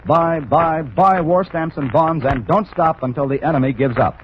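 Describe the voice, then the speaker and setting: A man's voice: a little high, normal speed. A man reading a scripted sponsor message as a 1940s radio announcer, addressing the listener in an earnest, persuasive way. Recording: dull, lightly noisy 1940s radio recording.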